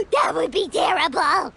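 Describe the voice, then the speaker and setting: A woman voicing a tiny, childlike, excitable and naive fairy companion in an anime video game. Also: very high voice, a little hiss or hum, heavily acted. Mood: alarmed. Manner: speaks of herself in third person, exclamatory.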